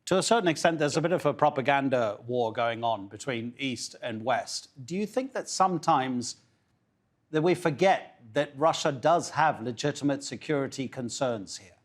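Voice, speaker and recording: A man's voice: medium pitch, expressive, moderate pace. A man anchoring a live news broadcast, putting questions to a guest in a conversational way.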